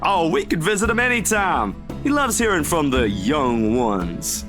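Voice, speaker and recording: A man's voice: on the high side, big pitch swings, measured pace. A male actor playing an easygoing, protective young best friend in a visual novel, with a casual, caring manner. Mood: casual, amused. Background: guitar music.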